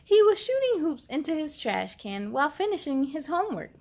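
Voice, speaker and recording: A woman hosting a children's story time, reading aloud expressively with drawn-out words and talking directly to young listeners. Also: high voice, very animated, darkish tone.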